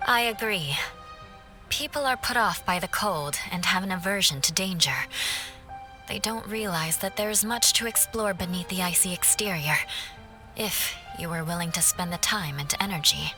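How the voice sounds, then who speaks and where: A woman voicing a composed, protective veteran knight in a game, formal and deliberate in manner. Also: lowish voice, bright, forceful tone, faint music behind. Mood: cool, thoughtful. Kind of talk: scripted game voice acting.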